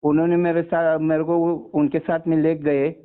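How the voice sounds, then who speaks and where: A man's voice: on the high side, level tone, moderate pace, clear, resonant tone. A man of sixty-one from Maharashtra, giving a personal account as a caller, deferentially addressing the listener as sir. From Maharashtra, India. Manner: deferential, constantly says sir. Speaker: caller, former soldier. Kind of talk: personal account on a phone call. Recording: tinny narrowband phone call.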